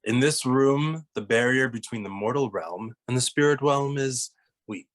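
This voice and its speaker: A man's voice: medium pitch, moderate pace, lightly acted. Man acting a serious, formal ghost detective. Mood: solemn. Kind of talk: animated comedy table read.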